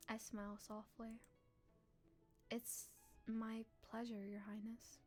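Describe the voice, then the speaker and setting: A woman streamer, playful and expressive, reading a visual novel aloud and chatting on a live stream. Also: on the high side, slow, faint music behind. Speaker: game streamer. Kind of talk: live stream chat and read-aloud narration.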